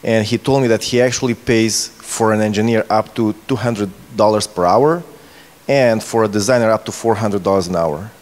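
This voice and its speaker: Male moderator, thirties, slightly low pitch, casual interviewing style, echoey conference hall. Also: normal speed. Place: indoor conference stage. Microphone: handheld microphone. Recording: reverberant hall sound.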